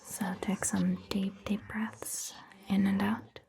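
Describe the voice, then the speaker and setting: A woman playing a caring vampire doctor in an ASMR roleplay, whispering gently and reassuringly in a breathy, hushed voice. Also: medium pitch, level tone, heavily acted. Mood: gentle, reassuring. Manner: gentle, slow, reassuring, softly questioning. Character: caring, attentive female vampire doctor tending a patient. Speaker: ASMR performer. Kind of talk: soft-spoken ASMR roleplay, mostly whispered.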